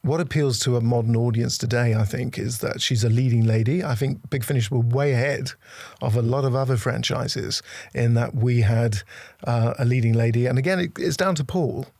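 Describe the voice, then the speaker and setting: A man's voice: medium pitch, fairly even intonation, moderate pace, creaky, darkish tone, dry recording. A man giving earnest, emphatic opinions in a documentary interview. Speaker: production team interviewee.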